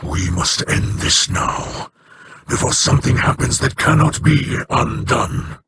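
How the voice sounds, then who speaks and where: An actor playing a solemn, regretful male elder of an alien people in a video game, speaking in measured, formal sentences. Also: animated, pushed and bright, slight background noise. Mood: grave. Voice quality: low, measured, formal speech.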